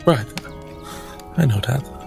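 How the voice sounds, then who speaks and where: A man's voice: mid-range voice, animated, takes it slowly, creaky, over music, voice pushed up, heavily acted. A man playing an earnest, troubled priest in a tabletop game, stammering and unsure of himself. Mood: anguished, earnest.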